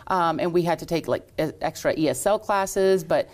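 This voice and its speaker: A middle-aged woman with a somewhat low voice, chatting through her life story. She owns salons and answers loosely, with frequent ums and small restarts. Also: normal speed. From Lexington, Kentucky. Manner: warm storytelling with frequent fillers. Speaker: salon owner and entrepreneur. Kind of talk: casual interview answers.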